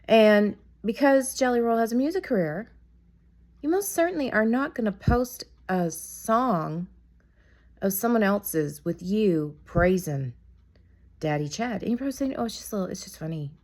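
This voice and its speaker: A woman with a raspy voice from being sick, chatting casually to camera in a rambling, opinionated gossip vlog. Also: medium pitch, expressive, normal speed, a little mellow. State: sick, raspy from a cold, coughing. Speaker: vlogger.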